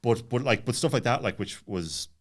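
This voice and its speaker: A man's voice: mid-range voice, lively intonation, normal speed. A man in his late thirties telling personal stories casually as a podcast guest, rambling with many false starts. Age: late 30s.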